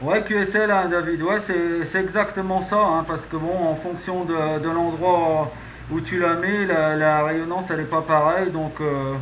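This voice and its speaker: A man with a fairly high voice talks casually in a radio contact. He rambles, tacking small filler tags onto his phrases. There is clear noise in the recording. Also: steady tone, moderate pace, fairly bright tone, low-quality recording. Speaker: amateur radio operator.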